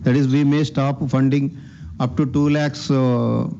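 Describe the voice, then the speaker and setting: A man's voice: medium pitch, little pitch movement, unhurried, creaky, warm tone. An Indian man speaking as a bank executive on an earnings call, deferential and repeating himself as he answers. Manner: deferential, repeats himself, often says "sir". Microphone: conference call line. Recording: dull, band-limited conference call line.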